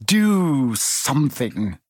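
An urgent, resolute schoolboy hero, read by a man from a storybook. He sounds insistent, with animated intonation and a dry, close sound. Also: on the high side, unhurried, dry recording.

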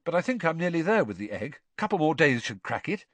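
A man's voice: a little high, big pitch swings, brisk, no echo, pitched above the performer's own voice. A man voicing a polite, guarded teenage schoolboy in an audiobook, with a careful, casual, understated manner. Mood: evasive, casual.

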